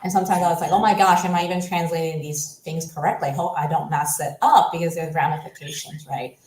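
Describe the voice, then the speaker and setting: A woman whose first language is Cantonese, a coach talking as an interview guest in an animated, casual way, often ending with "right?". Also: sits low, fast talker. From United States. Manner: animated, ends points with "right?". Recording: echoey room.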